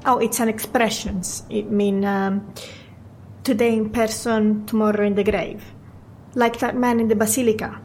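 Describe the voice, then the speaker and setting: A woman's voice: medium pitch, level tone, moderate pace, a little mellow, a little hiss or hum, natural voice. A woman playing a chatty, fatalistic Venetian waitress in an audio drama, speaking English with an Italian accent and non-native phrasing. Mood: fatalistic, reflective. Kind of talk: naturalistic audio drama acting.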